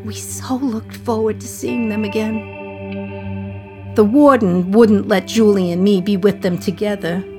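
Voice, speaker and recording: An actress plays a condemned mother speaking from prison in a radio drama. Her medium-pitched female voice confides with dry gallows humour, wistful yet defiant. Music runs behind her monologue. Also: close to an everyday voice. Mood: wistful, subdued.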